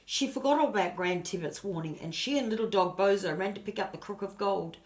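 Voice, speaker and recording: A woman's voice: medium pitch, fairly quick. An older woman narrating a children's storybook expressively, in a grandmotherly way and addressing her listeners. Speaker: storybook reader.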